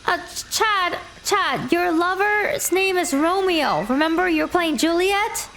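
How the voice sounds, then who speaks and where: A woman voicing an impatient female play director who gives firm, slow instructions. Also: very high voice, expressive, a bit bright, slight background noise, exaggerated voice. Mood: directing.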